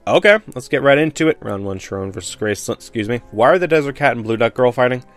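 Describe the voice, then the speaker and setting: A man's voice: medium pitch, expressive, fast talker, soft background music. A man hosting a review series, giving sarcastic scripted commentary with mock disbelief. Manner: sarcastic, mock-incredulous, swears freely.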